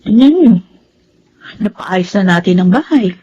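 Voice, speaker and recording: An actress with a Filipino accent playing an evasive, slightly sardonic young adult daughter in a radio drama. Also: female voice, mid-range voice, expressive, unhurried, dark tone, husky, muffled recording. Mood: offhand, sardonic.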